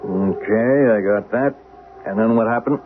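A man's voice: on the low side, normal speed, phone-quality sound, lightly acted. An actor playing a patient, skeptical policeman in a 1970s radio drama, matter-of-fact and folksy as he humors someone. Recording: noisy, band-limited 1970s radio broadcast.

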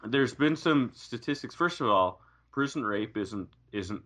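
A man's voice: medium pitch, expressive, unhurried, fairly bright tone. A man co-hosting a podcast in casual chat, hedging and restarting as he thinks aloud. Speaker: podcast co-host. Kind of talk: casual chat about a TV show.

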